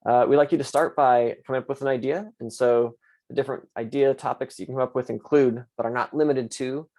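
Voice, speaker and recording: A man running an online astronomy class, moderating questions and explaining the course in a relaxed way with many fillers. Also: mid-range voice, brisk. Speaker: course instructor and host.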